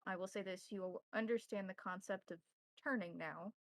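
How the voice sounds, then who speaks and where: A woman narrating a tabletop role-playing game as game master, in measured, formal wording. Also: mid-range voice, fairly even intonation, dry recording.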